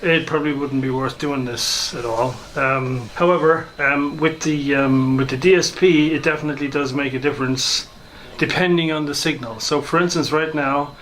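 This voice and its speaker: A man giving an informal, hesitant and unhurried demonstration of some hobby gear. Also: a little high, steady tone, fairly bright tone, slight background noise, rough recording. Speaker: hobbyist video maker and shortwave listener.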